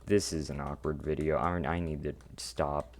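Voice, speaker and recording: A teenage boy with a deep voice, rambling awkwardly and putting himself down while commenting on his own gameplay. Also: level tone, normal speed, a little mellow, a little hiss or hum. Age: teens. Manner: rambling, self-deprecating, awkward. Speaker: gaming channel host.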